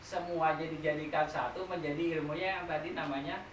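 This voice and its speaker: A man's voice: fairly high pitch, fairly even intonation, fairly quick. A man, teaching a massage therapy training class and quizzing the students as he explains step by step. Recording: echoey room, noisy, mic far off.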